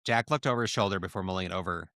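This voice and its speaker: A man's voice: low-pitched, very fast, a bit bright, no echo, clean studio sound. A man hosting a podcast, reading a story aloud for comedy with casual asides. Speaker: podcast host and story reader. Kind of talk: comic dramatic reading with casual banter.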